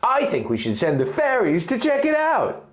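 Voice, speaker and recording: Fantasy audiobook husband, half-elf, male reader, high and swooping voice, earnest, urgent and alert. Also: wildly swooping pitch, normal speed, heavily acted. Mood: urgent, concerned.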